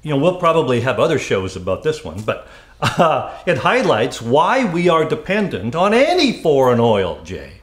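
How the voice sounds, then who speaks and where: A man's voice: mid-range voice, wildly swooping pitch, normal speed. A man co-hosting a talk show with opinionated, informal commentary full of rhetorical questions, recorded with a roomy echo. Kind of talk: opinionated conversational political commentary.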